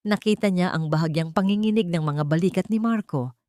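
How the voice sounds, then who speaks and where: A woman's voice: fairly low pitch, brisk, warm tone, no room sound, clean studio sound. A woman reading a dramatic scripted romance story as narrator.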